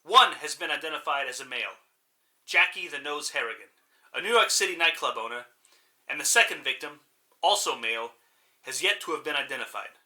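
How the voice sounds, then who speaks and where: Man acting a crime news reporter, very bright forceful voice, slightly high, formal, radio drama bulletin. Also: lively intonation, fairly quick. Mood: matter-of-fact. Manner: formal, matter-of-fact.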